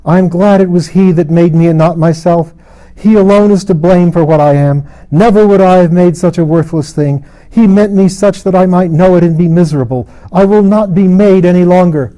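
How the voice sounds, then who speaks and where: A man's voice: high-pitched, normal speed, dark tone, voice pushed up, lightly acted, poor audio. A man voicing a tormented, defiant fallen queen in a fantasy audiobook, bitter, proud and impassioned. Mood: anguished, bitter. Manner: impassioned, gasping outbursts. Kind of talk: dramatised audiobook reading of a fantasy novel.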